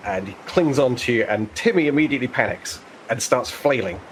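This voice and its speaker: A man as game master, explaining rules and narrating conversationally, pausing to think aloud. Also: mid-range voice, animated, a little hiss or hum.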